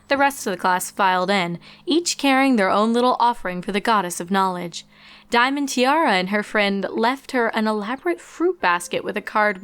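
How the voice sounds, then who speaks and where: A woman reading a scripted story aloud as its narrator, in an expressive storytelling style. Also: mid-range voice, clean tone. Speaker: fan fiction narrator. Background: background music.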